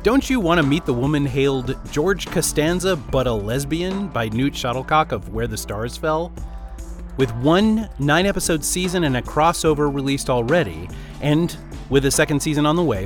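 A man's voice: mid-range voice, expressive, normal speed, soft background music. A man delivering scripted, dramatic promotional narration as a podcast announcer.

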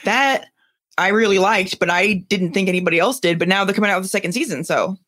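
A woman with a medium-pitched voice co-hosts a casual podcast. She chats informally, a little hesitant and loose in her wording. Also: fast talker, fairly bright tone. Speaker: podcast co-host. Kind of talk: casual chat.

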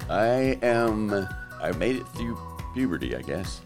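A man hosting a podcast in casual, joking chat, his voice lowered by allergies. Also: sing-song, a little slow, music in the background. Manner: joking, teasing. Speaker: podcast host. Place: South Carolina.

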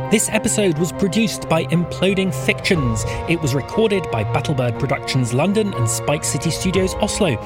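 A man delivers scripted podcast announcements and credits. His voice is a little higher than average and moves expressively, with loud music playing underneath. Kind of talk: scripted narration. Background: music behind the voice.

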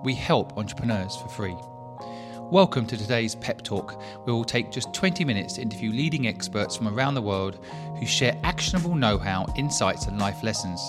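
A man's voice: on the low side, expressive, normal speed, over music. A man hosting a podcast, reading an upbeat scripted intro and asking interview questions with enthusiastic praise. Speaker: podcast host.

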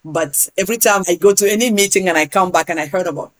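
A middle-aged woman from Niger, speaking as a guest advocate in a podcast interview, earnest and animated. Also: lowish voice, fast. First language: Hausa and Zarma. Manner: earnest, animated, repeats words. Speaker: guest, patient advocacy leader.

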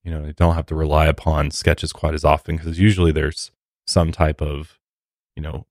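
A man's voice: a bass voice, flat delivery, moderate pace, a little mellow, no room sound, clean studio sound. A man co-hosting a podcast, giving casual conversational commentary. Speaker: podcast co-host.

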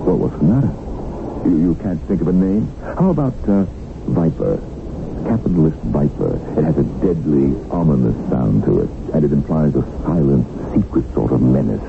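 An actor playing a sardonic, unflappable middle-aged millionaire held captive, with dry, mocking emphasis, in a 1970s radio drama. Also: male voice, a bass voice, sing-song, normal speed, gravelly, exaggerated voice. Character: sardonic, unflappable kidnapped millionaire of about fifty. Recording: muffled, noisy old radio broadcast.

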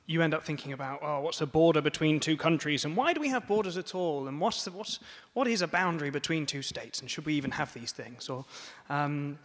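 A man's voice: a little high, fairly bright tone. French-accented man telling a story in a hall. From France. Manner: chatty and self-mocking, many ums. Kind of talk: live personal storytelling to an audience. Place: museum event space. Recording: live hall with long reverb.

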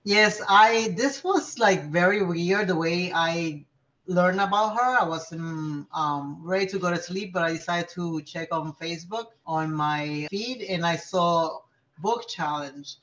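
A woman's voice: fairly low pitch, a little slow, a bit bright. A middle-aged woman born in New York and raised in Puerto Rico, a community organizer chatting casually as a podcast guest and laughing often. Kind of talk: casual interview chat. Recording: slightly dull lo-fi audio.

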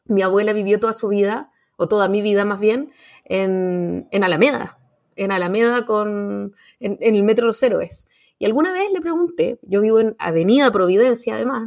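A Chilean woman in her forties with a Chilean accent, talking casually on a panel, animated and slangy with many fillers and restarts. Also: medium pitch, creaky. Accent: Chilean Spanish. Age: 40s. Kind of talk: casual radio panel chat.

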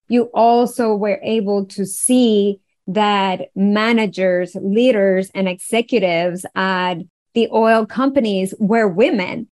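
Lively Venezuelan woman telling her story. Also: mid-range voice. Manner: animated, laughs often, playful asides. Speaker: guest, wellness engineer. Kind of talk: conversational storytelling.

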